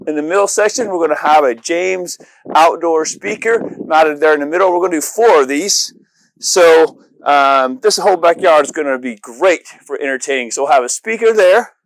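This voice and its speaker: American male installer, casual and enthusiastic vlog walkthrough, slightly higher pitch, very little room echo outdoors. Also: creaky. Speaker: audio-video installer presenting a project. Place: outdoors in a residential backyard.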